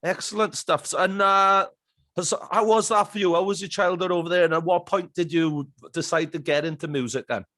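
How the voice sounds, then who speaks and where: A man's voice: sits high, fairly even intonation, a little fast, pushed and bright. A man hosting a podcast interview, chatting eagerly and rambling through memories. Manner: enthusiastic, rambling with fillers. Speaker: podcast host. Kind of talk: casual interview chat.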